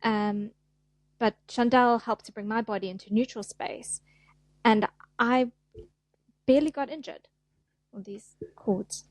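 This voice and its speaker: A woman's voice: fairly high pitch, a little slow, long pauses, dry recording. A middle-aged woman with a South African accent, chatting as a podcast guest in an easygoing, self-deprecating way. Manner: chatty, self-deprecating, acts out little voices. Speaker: guest, fascia therapist. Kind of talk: casual podcast interview.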